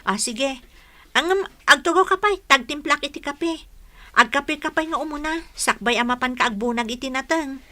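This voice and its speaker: Sweet shy village girl, ilocano accent, high bright voice, radio drama. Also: moderate pace. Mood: caring, shy. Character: sweet, bashful, caring young village woman.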